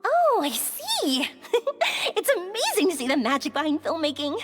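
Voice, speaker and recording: A woman voicing a bubbly, enthusiastic young fireworks maker in an anime-style video game, perky, friendly and expressive. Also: sits high, moderate pace, faint music behind. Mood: warm, excited.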